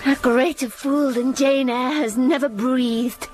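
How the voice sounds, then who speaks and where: A woman's voice: high voice, steady tone, slow pace. An actress playing a plain, self-critical young governess who narrates her own story in a radio drama. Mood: self-scolding. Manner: introspective, self-mocking. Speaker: narrator and heroine. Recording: music under the voice. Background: music.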